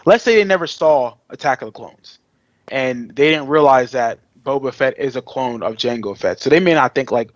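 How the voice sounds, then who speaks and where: A man's voice: medium pitch, expressive, moderate pace, no echo, lo-fi sound. A man co-hosting a podcast in casual fan chat, with an informal, slangy and enthusiastic way of talking. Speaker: podcast co-host.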